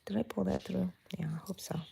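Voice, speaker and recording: A woman's voice: medium pitch, steady tone, quick pace, gravelly, warm tone, slightly rough. A woman from Texas hosting a casual live crochet stream from her bedroom, chatty and thinking aloud as she works and talking to her yarn. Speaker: crochet channel host. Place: bedroom at home.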